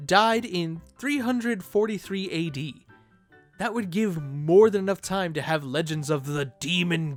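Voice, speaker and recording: A man narrating an informal fan video essay, with playful, conversational asides. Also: on the high side.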